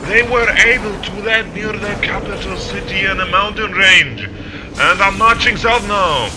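An actor playing a dutiful, formal male military commander in a sci-fi audio drama. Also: a little slow. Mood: grave, reporting. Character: dutiful male fleet commander reporting to his lord. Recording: loud starship rumble behind.

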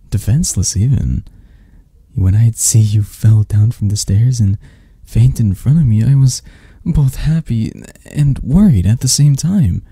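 A man playing a dark-voiced, obsessed lover. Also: fairly low pitch, dry recording, heavily acted. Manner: slow, intimate, breathy sighs and pauses. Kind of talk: ASMR romantic roleplay.

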